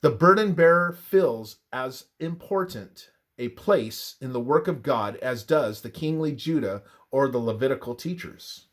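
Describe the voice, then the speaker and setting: Guy reading a bible study script, slow and deliberate, pitch moves up and down a lot. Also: animated. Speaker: reader of a religious devotional. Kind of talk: scripted narration of a Bible study, read aloud.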